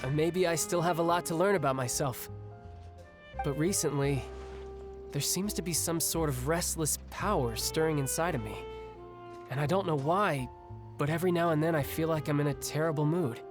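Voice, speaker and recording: An actor playing a young, restless, battle-hungry warrior in a video game, speaking in a breezy, reflective way. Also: male voice, on the high side, moderate pace, natural voice. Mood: pensive. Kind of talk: video game cutscene acting. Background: game music.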